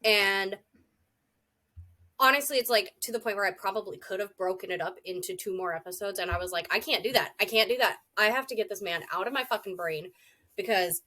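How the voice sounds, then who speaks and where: A woman in her thirties co-hosting a casual podcast chat, a little congested from a cold, blunt and quick to swear. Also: medium pitch, bright, forceful tone. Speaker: podcast co-host.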